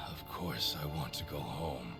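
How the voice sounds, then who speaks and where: An actor playing a loyal male soldier in a video game, earnest and pleading, with a gravelly voice. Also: a bass voice, exaggerated voice. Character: loyal male soldier, frightened but devoted.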